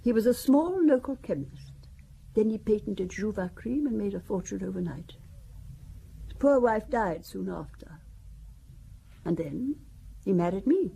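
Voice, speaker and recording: A woman's voice: mid-range voice, expressive, measured pace, creaky, long pauses, warm tone, a little hiss or hum, poor audio. An older woman playing a genteel, chatty French widow in an audio drama, confiding and wistful as she reminisces. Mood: reminiscing. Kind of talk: audio drama acting, conversational storytelling.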